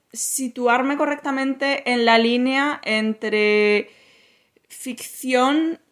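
Thoughtful woman novelist from Madrid. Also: on the high side. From Spain, Madrid. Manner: thoughtful, many pauses and restarts. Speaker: novelist guest. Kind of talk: podcast interview.

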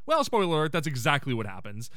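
A man hosting a podcast, giving a casual, slangy comedic recap in an excitable way and acting out quotes. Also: on the high side, quick pace, no echo, studio quality. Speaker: podcast host.

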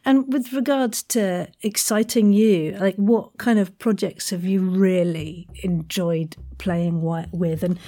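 A woman's voice: medium pitch, fairly even intonation, takes it slowly, hardly any pauses, dark tone. A woman hosting a casual podcast interview in an effusive, encouraging way, with frequent exclamations.

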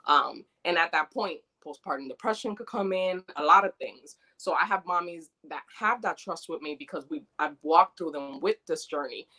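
A woman from New York, born in the Dominican Republic, talking as a doula guest in an earnest, chatty, animated way. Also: sits low, normal speed, few pauses. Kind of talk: casual interview conversation.

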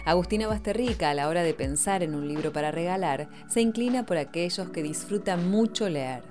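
A woman narrates a feature in a scripted voice-over style. Her pitch sits a little low, and music plays clearly behind her. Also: expressive, fairly quick.

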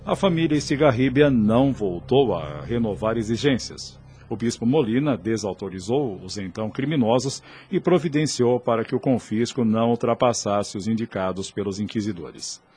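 A man's voice: mid-range voice, lively intonation, moderate pace, a little mellow, breathy edge, faint music behind. A man narrating a radio drama in scripted, formal storytelling.

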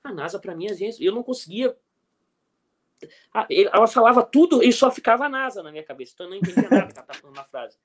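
A middle-aged Brazilian man with a Brazilian Portuguese accent, a guest coach telling animated anecdotes in a casual interview and often checking that the listener follows. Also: sits high, rapid-fire. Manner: animated anecdotes, frequent "entendeu?", chuckles.

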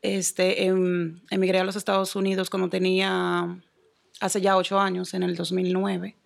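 Gravelly young Dominican woman. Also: on the low side, completely flat delivery, normal speed. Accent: Dominican Spanish. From Dominican Republic. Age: young adult. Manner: soft-spoken, with many hesitations and fillers. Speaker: guest. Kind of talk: casual interview answers.

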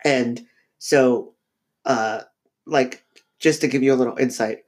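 Male podcast co-host with a cold, slightly nasal; reflective, halting, full of ums and restarts. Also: mid-range voice, steady tone, a little mellow. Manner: halting, many fillers and restarts; reflective, thinking aloud. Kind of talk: casual podcast discussion.

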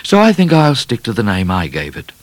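Man as a high-pitched, earnest young boy. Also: huge pitch swings, a little fast, no room sound. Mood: earnest, stubborn. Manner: earnest, polite, naive. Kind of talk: storybook character voice.

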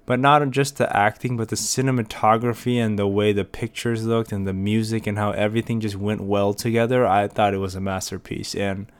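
A man's voice: on the low side, steady tone, a little fast, hardly any pauses, clear, resonant tone. A young American man hosting a casual solo podcast, rambling with many fillers and restarts. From United States. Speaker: podcast host.

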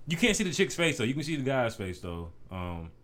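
Brief: young guy, mid pitch, relaxed storyteller, light background noise. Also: fairly quick. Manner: laid-back storyteller with filler ums. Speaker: podcast co-host. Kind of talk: casual chat.

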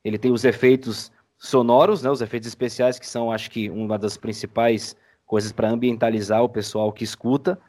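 A man's voice: mid-range voice, flat delivery, a little fast, hardly any pauses, a little mellow. A Brazilian man chatting casually as a guest in a podcast interview. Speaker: podcast guest, audio drama creator.

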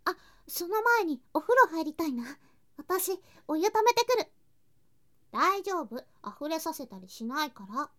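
Woman playing a sweet little girl cousin, really high cutesy voice, clingy and doting, asmr roleplay style. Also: lively intonation, measured pace, exaggerated voice. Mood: playful, pouting. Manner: cutesy, clingy, whispery soft asides, giggly. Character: sweet, affectionate younger girl cousin, doting on her older cousin. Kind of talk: Japanese ASMR situation voice drama acting.

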